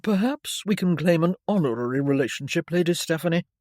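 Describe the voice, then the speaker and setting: A woman reading an audiobook, voicing a stiff middle-aged male baronet in a formal, measured way. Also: fairly low pitch, lively intonation, darkish tone, dry recording, clean studio sound, close to an everyday voice. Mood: courteous.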